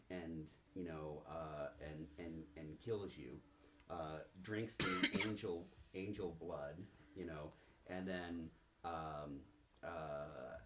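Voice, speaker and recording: A man improvising narration as game master of a tabletop game, in a halting, drawn-out way with pauses. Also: deep voice. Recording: faint background noise.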